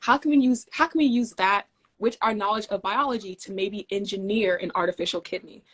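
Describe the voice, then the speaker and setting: A young adult woman from Denmark, a guest in a casual interview, explaining her engineering work in a measured, friendly way. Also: mid-range voice, fairly bright tone.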